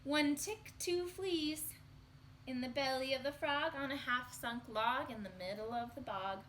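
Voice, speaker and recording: A woman reading a picture book aloud to young children in an expressive, sing-song storytelling manner. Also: high-pitched, measured pace, a little hiss or hum.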